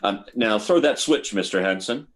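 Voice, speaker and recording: An actor playing a hesitant, probing army psychiatrist in an old-time radio science fiction drama, stammering and deliberate. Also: male voice, lowish voice, lively intonation, lightly acted. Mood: methodical, hypnotic.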